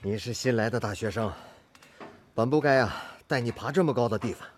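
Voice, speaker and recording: An actor playing a determined, good-natured factory master technician in a radio drama, earnest and full of sighing interjections. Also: male voice, mid-range voice, fairly quick, slight background noise, close to an everyday voice. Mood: strained.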